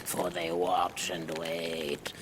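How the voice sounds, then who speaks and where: A man playing a sinister undead spirit in a game, luring with archaic, theatrical speech in a breathy, gravelly croak. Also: low voice, completely flat delivery, extremely slow. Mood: urgent, grim. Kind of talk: video game cutscene acting. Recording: loud background ambience noise.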